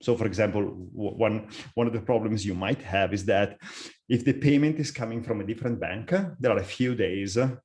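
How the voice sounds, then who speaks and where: Middle-aged italian guy presenting a webinar, lowish voice, lots of uhs and restarts. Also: brisk, a little mellow. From Italy. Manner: frequent fillers and repeated restarts. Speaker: software architect, webinar speaker.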